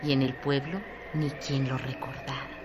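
A woman's voice: very deep voice, level tone, moderate pace. A woman playing a grave storyteller, narrating a scripted radio drama about village life. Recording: clear background noise.

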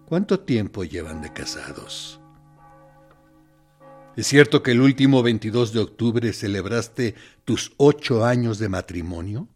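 A man acting a radio drama monologue as a menacing interrogator who feigns reason and kindness, insinuating and mock-courteous. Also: medium pitch. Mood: insinuating menace.

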